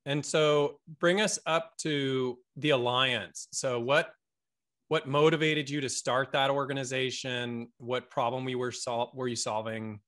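A man's voice: mid-range voice, fairly even intonation, measured pace, a bit bright. A man hosting a casual interview, asking short guiding questions. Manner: brief prompting questions and affirmations. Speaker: interview host.

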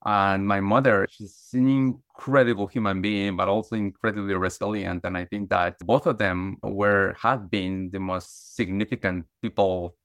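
A man's voice: deep voice, fairly even intonation, moderate pace, few pauses, a bit bright, no room sound, studio quality. A middle-aged man from Guatemala, a podcast guest, telling his life story earnestly with many restarts and fillers. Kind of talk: conversational storytelling.

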